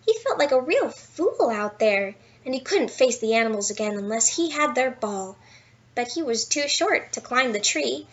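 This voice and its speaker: A woman reading a children's picture book aloud in an expressive, playful storytelling style with dramatic emphasis. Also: a little high, highs cut off. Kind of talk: animated bedtime story read-aloud with asides.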